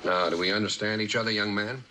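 An actor playing a stern, commanding older general with an American accent in a mid-century television drama, speaking in a blunt, deliberate way. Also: male voice, lowish voice, fairly even intonation, natural voice. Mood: brisk, probing. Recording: old television soundtrack with faint hiss.

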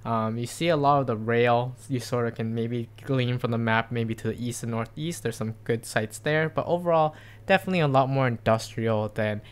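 A young man talks his way along a bike trail, rambling and upbeat, full of ums and restarts. His voice is smooth and clear, in a middle pitch, caught outdoors by a camera on his handlebars. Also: normal speed. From Seattle area. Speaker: cycling vlogger. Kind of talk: casual narration while riding. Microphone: camera mounted on bike handlebars.

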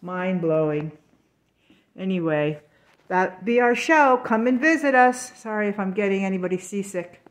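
Slow-talking woman touring a gallery. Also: medium pitch. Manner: chatty, enthusiastic, unhurried. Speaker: artist and show organizer. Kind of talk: casual walkthrough tour of a gallery show. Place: gallery in an old brick factory building.

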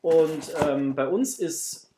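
A man's voice: a little high, slow, noisy background. A man in his thirties or forties chatting casually and affectionately to viewers as the host of an unboxing vlog. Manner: effusive, affectionate toward viewers. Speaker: host of a toy unboxing channel. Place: small indoor home room.

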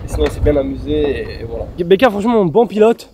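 A man's voice: a little high, very animated, fast, crowd noise. A young man chatting casually and teasingly with friends in slangy vlog style. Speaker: vlogger. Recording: noisy outdoor and in-car vlog audio.

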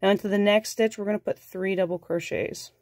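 A woman with a cold, sounding nasal, calmly talking through a crochet tutorial step by step and counting stitches aloud. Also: medium pitch, fairly quick, no room sound, clean studio sound. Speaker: crochet instructor.

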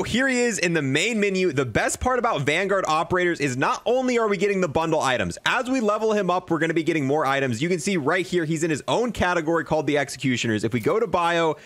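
A man reviews video game items in a fairly high voice with swooping, animated pitch. He talks as an enthusiastic host, tossing in casual slang and bro-style remarks. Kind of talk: excited gaming commentary.

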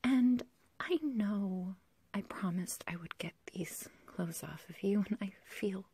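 A woman's voice: mid-range voice, slow, dark tone, no room sound. A woman playing an obsessive, love-crazed young kidnapper in an ASMR roleplay, whispering close to the mic in a rambling, hesitant, sweetly menacing way. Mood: apologetic, menacing. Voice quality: breathy, whispery. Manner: rambling, hesitant, cooing, giggly. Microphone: close ASMR microphone, dry.

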